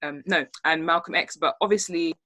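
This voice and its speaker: Young female, London accent, bright and close-sounding, colloquial and emphatic in casual group discussion. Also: deep voice, fairly even intonation, normal speed, no echo. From born in Spain, raised in London. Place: video call.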